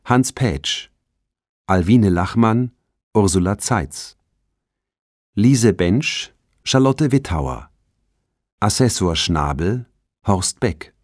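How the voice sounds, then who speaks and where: Slow, low-voiced male radio announcer. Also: no echo, clean studio sound. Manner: measured, formal. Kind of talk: formal scripted announcement, reading credits.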